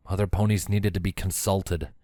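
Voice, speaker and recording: Low-voiced man narrating a story, dry sound. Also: steady tone, moderate pace, dark tone, no echo. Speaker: fan fiction reader, narrator.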